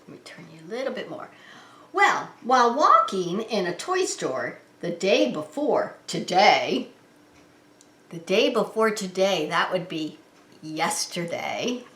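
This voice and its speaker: Woman, preschool teacher, children's read-aloud, very lively intonation, simple expressive explanations, echoey room. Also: medium pitch, takes it slowly. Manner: expressive, simplified talk addressed to young children. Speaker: preschool teacher and story time host. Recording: roomy, echoing indoor sound.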